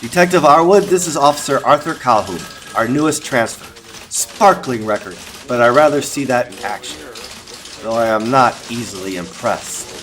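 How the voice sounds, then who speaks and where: An actor playing a commanding 1920s police captain, stern, formal and authoritative. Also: male voice, mid-range voice, expressive, normal speed, a bit bright, a little hiss or hum, natural voice. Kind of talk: comic dub character acting.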